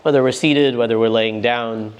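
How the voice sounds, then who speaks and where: A man guiding a meditation as a teacher, speaking in a gentle, unforced and reassuring manner. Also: mid-range voice, steady tone, moderate pace, smooth, muffled recording. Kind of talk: guided meditation instruction.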